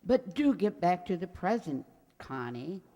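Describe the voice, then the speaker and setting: A woman's voice: fairly low pitch, sing-song, unhurried, dark tone. A woman playing a sweet, forgetful elderly landlady who dithers and rambles in a radio comedy. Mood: fondly reminiscing. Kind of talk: radio comedy acting, old-time sitcom revival. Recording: very reverberant hall sound.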